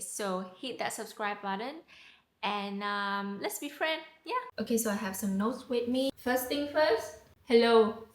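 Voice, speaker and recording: A young woman speaking English with a Vietnamese accent, chatting excitably as she teaches handy phrases. Her voice sits a little high. She repeats phrases for practice and uses playful slang. The room around her gives a noticeable echo. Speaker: travel vlogger teaching phrases. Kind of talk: casual chatty lesson. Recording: roomy echo.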